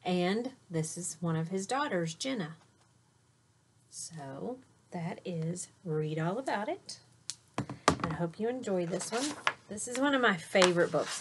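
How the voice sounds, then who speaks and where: A woman's voice: lowish voice, slight background noise. Woman reading a children's storybook aloud. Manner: expressive reading with explanatory asides. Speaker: teacher reading a picture book aloud.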